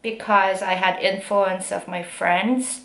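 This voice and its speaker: A young Korean woman speaks in a relaxed, unhurried way, her American-style English shaped by a Korean accent. She pauses often and fills the gaps with soft ums and likes. Her voice sits at an ordinary middle pitch and rings back from an echoey room. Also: gravelly. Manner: unhurried, many fillers and pauses. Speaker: aspiring actress. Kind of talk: casual storytelling.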